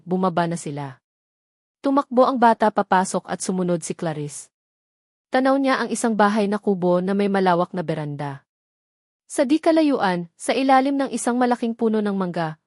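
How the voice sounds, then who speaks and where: A female storyteller narrates a scripted romance with dramatic phrasing. Her voice sits at a medium pitch, and the recording is dry and close. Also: a little mellow, no echo.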